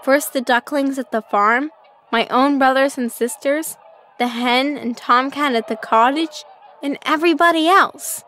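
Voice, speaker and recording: A woman voicing a lonely, gentle young duckling in a children's cartoon, earnest and plaintive. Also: sits high, measured pace. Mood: hurt, sad. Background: music.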